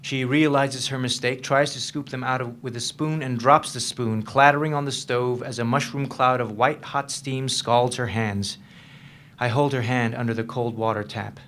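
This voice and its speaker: A man's voice: mid-range voice, steady tone, moderate pace, fairly bright tone, lightly acted. Man acting troubled, guarded man, noisy live recording. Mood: measured narration. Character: troubled, guarded man confiding in his girlfriend, haunted by past. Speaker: actor in a staged reading. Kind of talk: dramatic stage reading of a novel.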